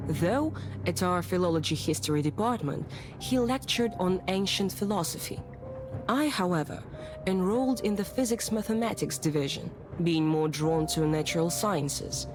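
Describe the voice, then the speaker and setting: A proper self-assured young lady in a visual novel, kinda low voice, formal and composed, music under it. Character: well-bred, self-assured young lady detective telling her past. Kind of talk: visual novel voice acting, narrative dialogue.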